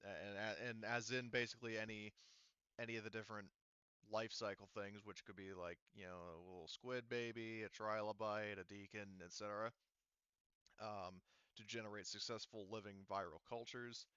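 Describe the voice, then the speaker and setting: A man improvising tabletop game narration as game master, halting and explanatory, often circling back to clarify. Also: fairly low pitch, steady tone, pushed and bright, clean tone, no echo, lo-fi sound.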